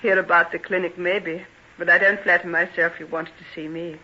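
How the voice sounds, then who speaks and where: Lovesick earnest coworker in old radio drama, really high bright voice, kinda self-deprecating. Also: male voice, flat delivery, a little fast, exaggerated voice. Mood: wistful, hurt. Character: earnest, wistful male colleague, hopelessly in love. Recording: dull vintage radio recording.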